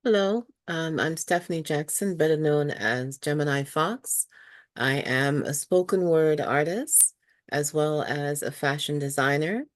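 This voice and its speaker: A woman's voice: low-pitched, measured pace, dry recording. A woman spoken word artist and fashion designer from Saint Lucia, introducing herself reflectively on an artist panel. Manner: reflective, frequent ums.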